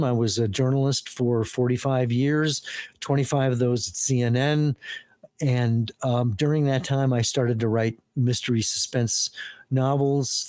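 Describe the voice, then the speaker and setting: An older man from Wisconsin, a retired journalist, talking as a guest in a relaxed interview, self-deprecating and pausing to restart his sentences. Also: medium pitch, dry recording. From Wisconsin, USA.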